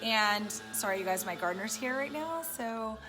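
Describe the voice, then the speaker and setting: A woman with a raspy voice, chatting casually and encouragingly to viewers on a live stream from home. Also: on the high side, expressive, normal speed, music in the background. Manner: chatty and encouraging, many fillers. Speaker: live-stream host and business coach.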